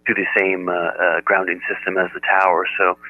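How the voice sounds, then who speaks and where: Deep-voiced man on a narrow radio channel. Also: level tone, brisk, dry recording. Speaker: amateur radio operator. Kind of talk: casual radio chat, explaining technical setup. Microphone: radio transceiver audio output. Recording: narrow muffled radio audio, dry.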